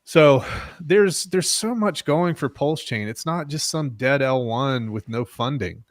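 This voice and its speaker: Casting: man, mid pitch, loose chatty guest, rambling speculative delivery, dry close mic. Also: measured pace, no room sound. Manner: rambling, speculative, many restarts. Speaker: guest, crypto project builder. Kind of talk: casual crypto podcast chat.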